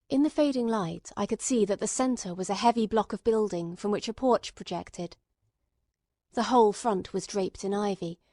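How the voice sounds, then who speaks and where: A woman's voice: mid-range voice, darkish tone, dry recording, studio quality. A woman reading scripted literary narration as an audiobook narrator.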